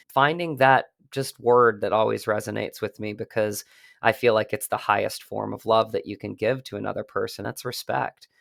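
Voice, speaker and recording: A man's voice: on the low side, vocal fry, a bit bright, no room sound, studio quality. An American man, an actor, speaking earnestly and reflectively as an interview guest. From United States.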